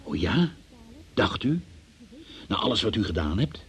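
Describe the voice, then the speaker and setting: An actor playing a stern, formal examining magistrate who questions in measured officialese, in a Dutch radio drama. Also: male voice, on the low side, big pitch swings, a little hiss or hum. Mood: sceptical, indignant.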